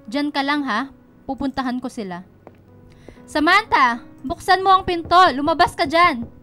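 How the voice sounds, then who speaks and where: Radio drama mother: Filipino, high voice, stern yet caring, quick to question, music underneath. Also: lively intonation, bright, forceful tone. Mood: stern, suspicious. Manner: scolding, questioning, maternal. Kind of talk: Tagalog radio drama acting.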